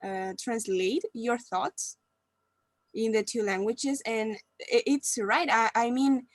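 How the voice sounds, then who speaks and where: A woman's voice: fairly high pitch, steady tone, slow pace, no room sound. A young woman with a Spanish accent, a university student agreeing thoughtfully in a class discussion.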